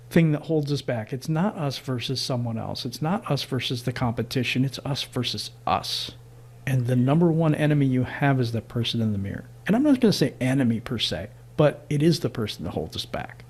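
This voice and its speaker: Male host, husky, earnest and eager, casual chat. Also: mid-range voice, animated, normal speed, darkish tone. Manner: earnest, enthusiastic, frequent fillers. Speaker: podcast host.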